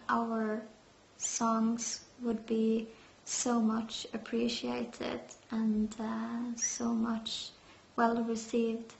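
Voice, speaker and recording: Female metal vocalist, halting and filler-heavy, flat slow speech, raised pitch, echoey lo-fi room. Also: gravelly. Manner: hesitant, many fillers. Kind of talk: interview answers. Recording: roomy, dull lo-fi sound.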